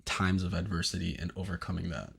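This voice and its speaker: A man's voice: deep voice, fast, gravelly, husky. A young man hosting a casual advice podcast, chatty and teasing, with lots of fillers. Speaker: podcast host.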